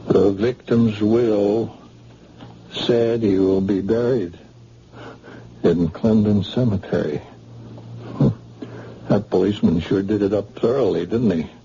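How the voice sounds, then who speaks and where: An actor playing a frightened working-class plumber lying in a hospital bed, talking plainly, on a dull old radio recording. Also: male voice, fairly low pitch, steady tone, slow, long pauses, mellow, slightly rough, exaggerated voice. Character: frightened male plumber, shooting witness.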